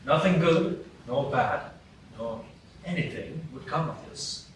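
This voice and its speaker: A man narrating a storybook tale in a live radio play, measured and steady, heard from afar in an echoey room. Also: a little high. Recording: echoey stage from far off, noisy.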